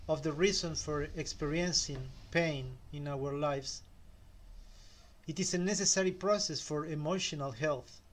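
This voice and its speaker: A middle-aged man with a Spanish accent, a native Spanish speaker, giving a slow, measured motivational talk with pauses. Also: on the high side. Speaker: self-help speaker.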